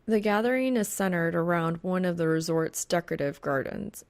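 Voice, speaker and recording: A woman narrates a fan fiction audiobook from a script. Her voice sits a little low for a woman and carries a clear creak as she reads the story. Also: normal speed, a little mellow.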